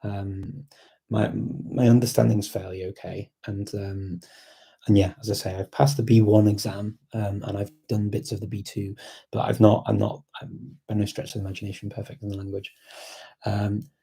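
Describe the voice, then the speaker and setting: Guy on a live stream, really soft dark husky voice, kinda hesitant, just thinking out loud. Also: fairly low pitch, flat delivery, moderate pace. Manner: hesitant, reflective, repeats words while thinking. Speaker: livestream host and language learner. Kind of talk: casual live chat, thinking aloud.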